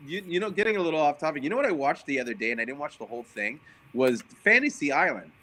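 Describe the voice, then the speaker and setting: A man chatting on a group video call, telling stories in an animated way and laughing as he talks. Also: fairly high pitch, fast talker, slight background noise, low-quality recording. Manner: animated storyteller, laughs while talking. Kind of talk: casual group chat on a call.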